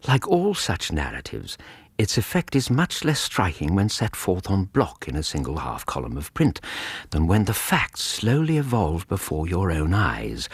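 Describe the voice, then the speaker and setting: An actor with an English accent playing a reflective Victorian doctor, narrating his memoir in a measured, literary way for radio drama. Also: rumbling low, slightly rough, no echo, heavily acted. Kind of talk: scripted first-person radio drama narration.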